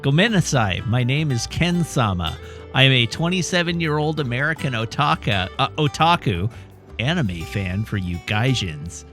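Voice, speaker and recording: A man's voice: mid-range voice, lively intonation, moderate pace, a bit bright, soft background music, pitched below the performer's own voice, close to an everyday voice. A man reading out as a pompous, boastful young anime fanboy, self-important and mock-serious. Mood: smug, earnest.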